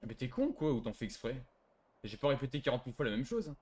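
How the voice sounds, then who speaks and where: Fast-talking, mocking male gamer. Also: mid-range voice, sing-song, a little mellow, husky. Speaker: online roleplay player. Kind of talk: casual game voice chat.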